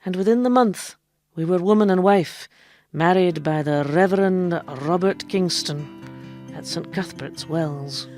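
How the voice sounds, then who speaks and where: A woman's voice: lowish voice, unhurried, a little mellow, no room sound, faint music behind, lightly acted. An actress playing a woman who poses as a male quack doctor in a radio drama, with grand, self-assured patter. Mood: wistful, ardent. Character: woman posing as a flamboyant, quick-witted male quack doctor. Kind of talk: radio drama acting and narration.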